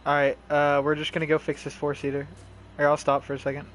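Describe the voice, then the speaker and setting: A man's voice: a little high, monotone, a bit bright. Young male streamer, loose and profane, talks over a console headset with faint hiss behind. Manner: loose, swearing, gives quick directions. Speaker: streamer playing a horror survival game. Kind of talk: casual gameplay chat with teammates. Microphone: console headset mic.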